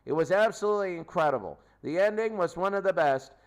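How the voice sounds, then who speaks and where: A man with a slightly high voice hosts an unscripted sports recap. He rattles through scores and stats in clipped fragments and repeats key phrases to drive them home. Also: animated, fast talker. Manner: repeats phrases for emphasis, clipped stat-listing. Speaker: sports show host, commentator. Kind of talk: unscripted game recap commentary.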